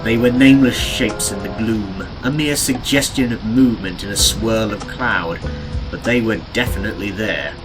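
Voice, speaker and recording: A man reading scripted audiobook narration of an adventure story in a deliberate, dramatic, descriptive way. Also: fairly low pitch, poor audio. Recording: music behind the narration. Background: game and cartoon soundtrack music.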